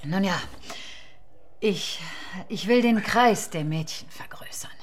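A woman playing a shrewd, seductive brothel madam in a radio drama, speaking with pauses and soft teasing. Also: medium pitch, natural voice. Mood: sly, inviting.

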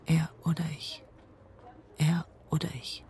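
An actress playing a haunted woman looking back on her marriage, husky and breathy, in grave, restrained audio drama narration. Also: low voice, level tone, slow pace, dark tone, exaggerated voice. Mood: haunted, tense. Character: haunted woman writer recalling a destructive marriage. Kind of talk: literary first-person audio drama narration.

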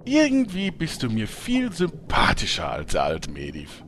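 A man's voice: medium pitch, huge pitch swings, measured pace, a bit bright, slight background noise. A man playing a cheeky freeloading gamer, theatrical in manner, in a comedic audio drama. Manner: theatrical, sheepish then indignant. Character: cheeky freeloading male player in online game parody.

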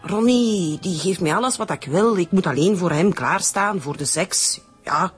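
A woman playing a cornered, unhappily married woman with a husky voice in a radio drama. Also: fairly low pitch, moderate pace, soft background music. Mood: confessional, wistful. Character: cornered married woman confessing a secret love affair. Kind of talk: naturalistic radio drama acting.

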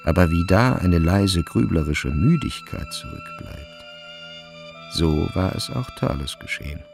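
A man narrating a literary radio play in a measured, brooding, deliberate way, over music. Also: deep voice, huge pitch swings, gravelly. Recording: music behind the voice. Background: string music.

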